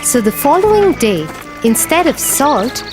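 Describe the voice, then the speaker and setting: Children's story narrator, high voice, unhurried and very expressive, music underneath. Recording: music playing behind the voice.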